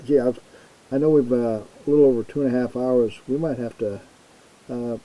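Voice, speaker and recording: Very dark-voiced hesitant male host. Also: mid-range voice, fairly even intonation, a little fast, low-quality recording. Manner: hesitant with fillers and repeats. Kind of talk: casual interview.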